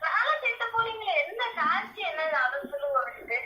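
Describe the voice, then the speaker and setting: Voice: extremely high pitch, big pitch swings, a little fast, sharp tone. A speaker arguing on the phone, protesting and asking the same questions again. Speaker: prank call recipient. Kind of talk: argumentative phone conversation. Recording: dull lo-fi phone call.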